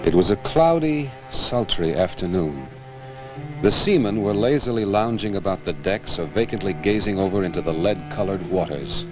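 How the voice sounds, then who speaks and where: Low-voiced man reciting, swooping through reverberant space. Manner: measured, theatrical delivery. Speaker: actor giving a staged reading. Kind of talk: dramatic literary recitation. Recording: hall reverb with music behind, 1960s broadcast. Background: music.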